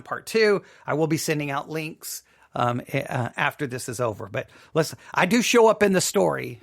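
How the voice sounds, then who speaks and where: A man hosting a casual, personal commentary, speaking emphatically with halting repetitions. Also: a little high, creaky, a bit bright. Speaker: host commenting on a radio drama.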